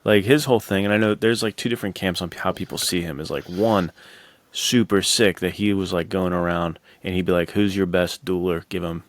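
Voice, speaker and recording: A man's voice: sits low, fairly even intonation, a little fast, no echo. A man co-hosting a podcast, telling stories casually in bro-like slang and playfully acting out quotes. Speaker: podcast co-host. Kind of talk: casual animated storytelling chat.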